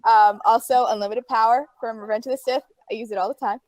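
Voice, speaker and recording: A woman's voice: fairly high pitch, fairly even intonation, fast, a crowd in the background. A woman talking casually as a panelist about her favourite films and quotes, informal and full of fan enthusiasm. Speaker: panelist in a group chat.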